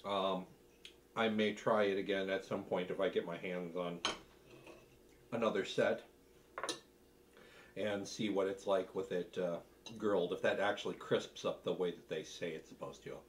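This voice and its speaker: A middle-aged man giving casual tasting commentary as a cooking video host, speaking in a halting, thoughtful way between bites. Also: low-pitched, fairly even intonation, a little hiss or hum. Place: home kitchen, seated at a table.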